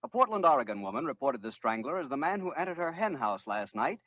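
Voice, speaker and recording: An actor playing an impersonal radio news announcer, reading a bulletin formally in 1940s style. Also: male voice, a little high, sing-song, fast talker, dry recording. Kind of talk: 1940s radio newscast acting. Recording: dull, dry old radio recording.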